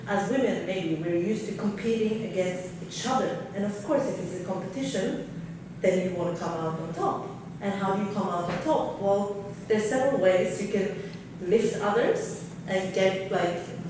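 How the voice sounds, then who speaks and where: A woman's voice: mid-range voice, measured pace. Woman, panel speaker, candid, hesitant fillers, distant mic, heavy room echo. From Norway. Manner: candid, thinking aloud with many fillers. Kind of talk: seminar panel discussion. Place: large hotel conference room. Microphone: table mic heard from far off. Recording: very echoey room, far from the mic, noisy.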